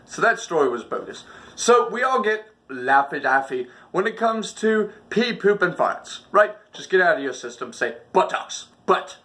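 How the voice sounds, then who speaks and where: A man's voice: high voice, very animated, moderate pace, pushed and bright. A man hosting a comedic storytelling video with a theatrical, exaggerated delivery. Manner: theatrical, exaggerated delivery with acted-out reactions and sound effects. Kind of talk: animated comedic personal storytelling.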